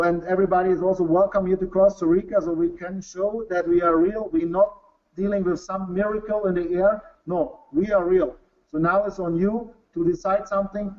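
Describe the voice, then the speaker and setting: A man from Germany, speaking English with a German accent, gives an earnest webinar business presentation in halting non-native English full of restarts. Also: high-pitched, lo-fi sound. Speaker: company executive presenting to a webinar.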